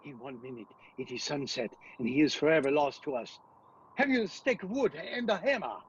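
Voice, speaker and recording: A man playing a commanding vampire hunter in a radio play, speaking with solemn, dramatic urgency and a husky voice. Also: on the high side, expressive, a little fast, lo-fi sound, a little hiss or hum. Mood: grave, tense, then urgent, commanding.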